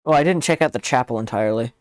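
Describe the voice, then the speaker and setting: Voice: mid-range voice, racing, no echo. A person playing through a game on stream, asking many questions and fretting about their own voice. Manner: self-conscious, asks lots of questions, swears. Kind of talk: casual gameplay chat.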